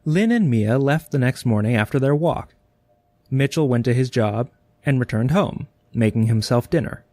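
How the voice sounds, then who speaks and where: A man's voice: mid-range voice, expressive, normal speed, mellow, dry recording. A man reading scripted narration as the narrator of an audio drama.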